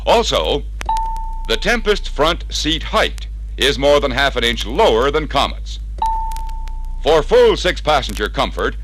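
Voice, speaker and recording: A man's voice: medium pitch, sharp tone, rough recording. An American man narrating a scripted 1960s sales training piece in a persuasive, emphatic announcer style, heard from a vintage vinyl record.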